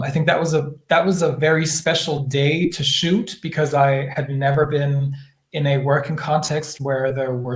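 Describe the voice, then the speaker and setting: A man's voice: on the high side, reverberant. A man answering interview questions as an actor, speaking in an earnest, thoughtful, conversational way. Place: indoor room, virtual Q&A.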